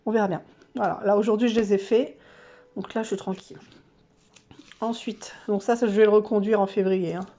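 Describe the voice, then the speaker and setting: A woman's voice: mid-range voice, fairly even intonation, fairly quick, pauses often, dark tone, dull audio. A woman vlogger with a stuffy, nasal voice from a cold, chatting casually and self-mockingly through her monthly challenges with lots of fillers.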